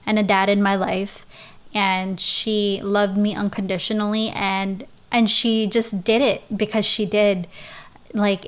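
A young woman from the Philippines talks through her life story at an unhurried pace. Her voice is smooth and clear and stays in a narrow, even pitch range. She often stops and restarts her sentences. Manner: halting, with many restarts and soft laughs. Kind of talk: casual personal storytelling, answering questions.

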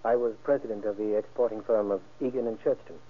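Man acting guarded husky businessman, tinny old radio. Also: on the low side, fairly even intonation, fast, natural voice. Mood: reluctant, explanatory. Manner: polite, hesitant, carefully explanatory. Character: guarded middle-aged businessman, a widower being questioned. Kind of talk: old-time radio crime drama acting. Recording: thin, muffled old radio recording.

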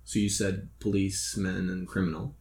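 A man's voice: low voice, level tone, normal speed, a little mellow. Chatty man. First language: Russian. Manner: curious, laughing, chatty. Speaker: host. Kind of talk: casual chat.

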